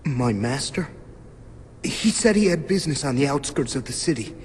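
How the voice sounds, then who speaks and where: An actor playing a gentle, sheltered young man in a video game, meek, hesitant and apologetic. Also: mid-range voice, expressive, moderate pace, in a large hall, a little hiss or hum, lightly acted. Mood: meek, trusting. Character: timid, gentle, sheltered young male bell-ringer, self-doubting. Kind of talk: video game cutscene dialogue. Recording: game audio with loud music behind.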